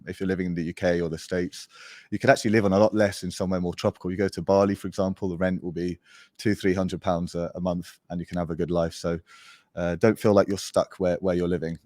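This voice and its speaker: A man's voice: low-pitched, dry recording, clean studio sound. A young man with an English accent, raised abroad, talking casually and loosely as a podcast guest with lots of fillers. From born in Portugal, raised in Spain. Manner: relaxed, rambling, many fillers. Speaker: guest, agency founder.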